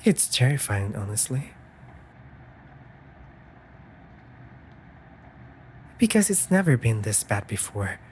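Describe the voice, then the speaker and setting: Man acting raven familiar, mid-pitched, animated, articulate, gently scolding, anxious, caring, fantasy audio drama. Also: normal speed, background noise, close to an everyday voice. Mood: worried. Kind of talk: scripted audio roleplay, comforting.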